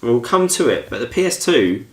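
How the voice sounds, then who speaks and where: A man's voice: mid-range voice, sing-song, moderate pace, a bit bright, reverberant. A man co-hosting a podcast, chatting casually and jokily in informal banter. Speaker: podcast co-host. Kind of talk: casual chat about films and games.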